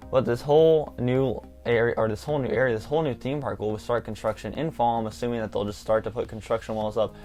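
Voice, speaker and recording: A man's voice: medium pitch, fairly even intonation, fast talker, few pauses, a little mellow, soft background music. A nineteen-year-old man, hosting a casual theme park vlog, rambling enthusiastically with lots of "like". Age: young adult. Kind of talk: casual excited vlog talk.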